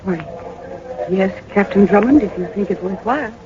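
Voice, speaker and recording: A woman's voice: medium pitch, moderate pace, close to an everyday voice. Woman acting an earnest young lady, muffled radio. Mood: helpful, polite. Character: earnest, polite young daughter of park owner. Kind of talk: 1940s radio drama acting. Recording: muffled 1940s radio recording, faint noise.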